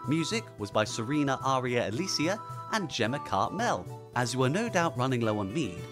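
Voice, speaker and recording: A man's voice: mid-range voice, very animated, moderate pace. Man reading credits over music. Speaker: credits announcer. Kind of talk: scripted announcement.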